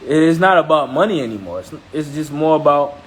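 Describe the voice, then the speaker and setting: A man's voice: on the high side, animated, a little fast, slight background noise, poor audio. A man from Haiti speaking as a trading mentor on a live stream, blunt and emphatic, repeating points and checking in with listeners. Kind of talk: motivational live-stream talk.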